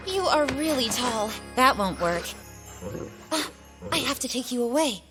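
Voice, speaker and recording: Casting: plucky young girl rider, animated dub, very high pitch, earnest and insistent, music underneath. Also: slow pace, full character voice. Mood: worried, scheming. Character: determined, pleading young girl who rides horses. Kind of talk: animated cartoon dub acting. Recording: music bed behind the voice.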